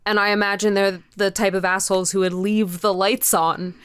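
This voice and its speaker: A young woman talking casually as an interview guest, in an animated, halting, sweary and informal manner. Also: mid-range voice, a little hiss or hum. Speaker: podcast creator, interview guest.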